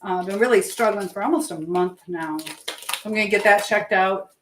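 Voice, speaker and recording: A woman's voice: medium pitch, a little fast, a bit bright, slight background noise. An American woman chatting casually with livestream viewers from her home kitchen, reading out and answering their comments as she cooks. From United States. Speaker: livestream host. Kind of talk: casual live chat with viewers while cooking.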